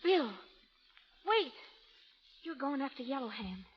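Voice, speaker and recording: Brash frontier gal, western american drawl, high voice jumping all over, blunt n folksy, dull old radio sound. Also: female voice, sing-song, slow pace, husky, exaggerated voice. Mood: worried, scolding. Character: brash, rough-talking frontier stagecoach driver woman, good-hearted. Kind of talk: 1930s-40s radio drama acting. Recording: dull vintage radio recording.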